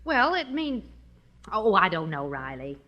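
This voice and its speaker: A woman's voice: mid-range voice, big pitch swings, unhurried, highs cut off. A woman playing a sensible, exasperated middle-aged American wife and mother in a 1950 radio sitcom. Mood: uncertain. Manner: exasperated, reasoning. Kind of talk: 1950s radio sitcom acting. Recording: vintage 1950 radio broadcast recording.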